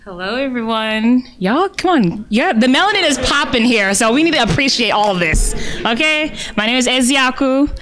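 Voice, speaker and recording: High-voiced woman from California, exuberant, rallying the audience. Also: expressive, moderate pace, fairly bright tone, soft background music. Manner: exuberant, hyping the crowd. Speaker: graduate student. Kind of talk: self-introduction.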